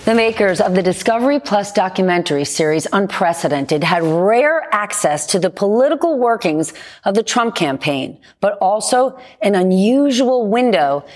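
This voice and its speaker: A woman's voice: medium pitch, lively intonation, moderate pace, a little mellow, soft background music. Skeptical woman news host, pointed. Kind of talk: TV news interview.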